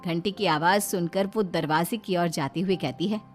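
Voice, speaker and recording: A woman's voice: on the low side, normal speed, faint music behind. A woman narrating a scripted cartoon story as its storyteller.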